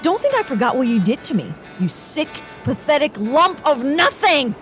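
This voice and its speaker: A woman's voice: high voice, big pitch swings, normal speed, a bit bright, soft background music. A woman playing a hotheaded, brash agent. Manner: brash, insulting, combative. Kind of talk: comedy audio drama acting.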